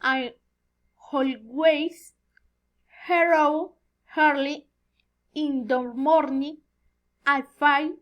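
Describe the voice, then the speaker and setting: Female student, 20s, native Spanish speaker with Colombian accent. High voice, extremely slow delivery, hesitant word-searching in a self-introduction. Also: steady tone, a bit bright, dull audio. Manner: halting, searching for words. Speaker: student giving an oral presentation. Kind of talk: rehearsed self-introduction for a language class.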